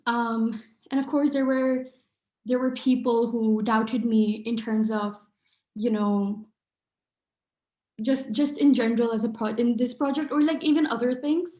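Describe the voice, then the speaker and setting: A woman's voice: a little high, fairly even intonation, a little slow, warm tone. A young woman from Pakistan, an author speaking at a book event, earnest with many fillers and restarts. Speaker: author and artist. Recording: echoey room.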